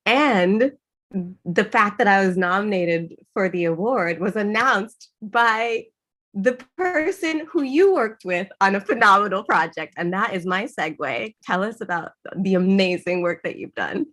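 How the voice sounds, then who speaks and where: A woman's voice: mid-range voice, lively intonation, unhurried, fairly bright tone. A woman songwriter from Zimbabwe, talking thoughtfully and reflectively in a conversational interview.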